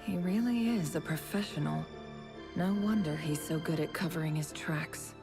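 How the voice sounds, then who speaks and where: A calm female investigator thinks aloud in a fairly low voice. She sounds measured, observant and pensive, as in video game dialogue. Music plays softly behind her. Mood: analytical. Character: calm, thoughtful female investigator musing to herself.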